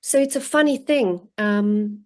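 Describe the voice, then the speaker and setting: Guest author, woman, forties, from Bulgaria; slow and hesitant delivery over a video link. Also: medium pitch, darkish tone. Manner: halting, many restarts and ums. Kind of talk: reflective interview answers. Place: home in rural northern countryside. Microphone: video call.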